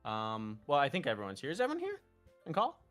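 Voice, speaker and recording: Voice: mid-range voice, wildly swooping pitch, quick pace, a bit bright, faint music behind. A streamer chatting casually and informally with swearing while playing a game and reading its text aloud. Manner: profane, informal. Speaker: host, streamer.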